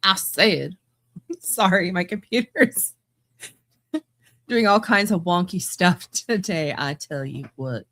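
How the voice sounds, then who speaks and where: A woman's voice: on the low side, slow pace, pauses often, fairly bright tone, no echo. A woman hosting a podcast, giving blunt, casual commentary with laughing asides and frequent restarts. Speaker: podcast host.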